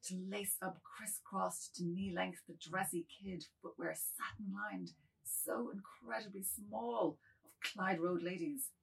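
A woman's voice: medium pitch, fairly even intonation, slow, vocal fry, husky, heavily acted, rough recording. A woman playing a submissive, wordy, fretful aging man, speaking florid lines in a dramatised literary reading. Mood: dreamy longing. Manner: florid, meek, rambling.

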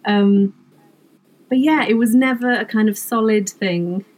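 A middle-aged Welsh-speaking woman from Wales chats easily in an interview, quick to laugh at herself. Her voice sits at an ordinary middle pitch. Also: darkish tone. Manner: chatty, self-deprecating, laughs often. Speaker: comedy writer, guest. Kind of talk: casual interview chat.

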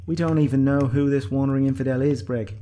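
A man's voice: mid-range voice, fairly even intonation, slow, dark tone, a little hiss or hum, pitched above the performer's own voice, natural voice. A man voicing a solemn, scornful male elder of an ancient sect, pompous and admonishing, in an audiobook story.

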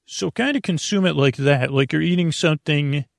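Creaky, rambling male podcast host. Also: on the high side, moderate pace, no echo, studio quality. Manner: meandering, self-interrupting, goofy tangents. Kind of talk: rambling bedtime monologue.

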